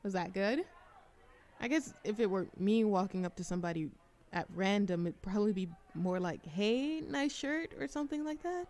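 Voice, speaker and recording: A woman's voice: mid-range voice, expressive, moderate pace, darkish tone, natural voice. A woman playing a shy, socially anxious young woman in a café audio roleplay, chatting in a hesitant, self-deprecating ramble. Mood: flustered, hopeful. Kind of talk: roleplay ASMR audio drama, conversational monologue.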